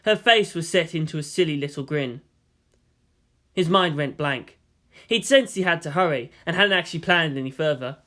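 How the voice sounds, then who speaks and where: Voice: mid-range voice, normal speed, sharp tone. A narrator giving a scripted, dramatic reading of anime fan fiction. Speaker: audiobook narrator.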